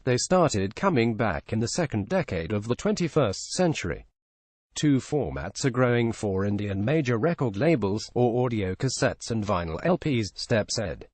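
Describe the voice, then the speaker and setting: A man narrates a written feature in a measured, journalistic way. His mid-pitched voice is recorded dry and close, with no room sound. The delivery is clearly scripted, read straight from the page. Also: a little mellow, dry recording. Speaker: narrator reading a feature article.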